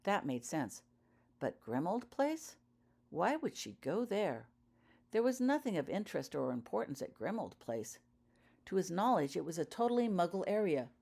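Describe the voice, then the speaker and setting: Low-voiced woman narrating an audiobook. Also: big pitch swings, moderate pace, gravelly, dark tone. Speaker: author reading own fan fiction.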